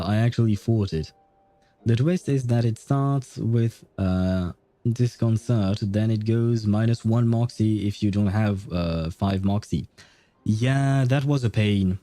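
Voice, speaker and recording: A man's voice: lowish voice, warm tone, no room sound. A man livestreaming a game, chatting casually in a hesitant, rambling way with chuckles and casual swearing.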